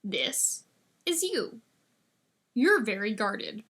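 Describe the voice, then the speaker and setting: A woman's voice: a little high, sing-song, extremely slow, fairly bright tone, husky, full character voice. A woman voicing a blunt, teasing young man who gives a friend authoritative advice in an audiobook story. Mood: frank advice. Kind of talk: audiobook character dialogue.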